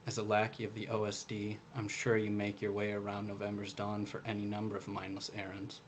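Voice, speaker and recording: A man's voice: lowish voice, monotone, fairly quick, a little hiss or hum, close to an everyday voice. A man reading the part of a defiant, solemn old engineer held captive in a novel. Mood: solemn defiance.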